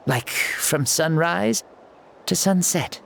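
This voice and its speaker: A man's voice: a little high, animated, slow, vocal fry, a bit bright, a little hiss or hum, heavily acted. An actor voicing a playful, boastful ancient hero spirit in a young boy's body for a video game, with breezy, theatrical storytelling. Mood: breezy, chatty. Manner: theatrical, breezy, self-amused storytelling with colloquial asides. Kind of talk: video game character acting. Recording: music under the voice.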